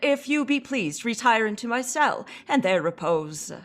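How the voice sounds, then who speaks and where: Slow, commanding old magician. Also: female voice, a little high, big pitch swings, fairly bright tone. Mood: weary. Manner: measured, declamatory. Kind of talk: Shakespearean radio play acting.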